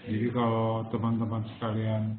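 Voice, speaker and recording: A man hosting a live online trading webinar, talking slowly with many pauses and fillers and a slight cough. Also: on the low side, monotone, slight background noise. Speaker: webinar host and forex educator. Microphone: computer mic over webinar. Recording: tinny phone-like webinar audio.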